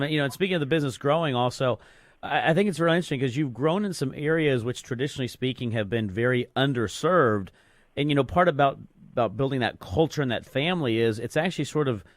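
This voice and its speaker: A man hosts a business talk radio show and talks quickly in a casual, conversational way. He often restarts and repeats words as he goes. His voice is dry and close, with no room sound. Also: medium pitch, no echo. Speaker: guest radio host.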